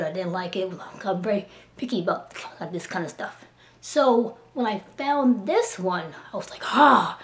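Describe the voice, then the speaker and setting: A woman in her thirties with a slightly hoarse voice from a cold, gushing over art markers in a casual chatty demo at home, recorded on a camera mic. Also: medium pitch. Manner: enthusiastic, gushing, chatty with restarts. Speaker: art supply reviewer. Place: small indoor room at home.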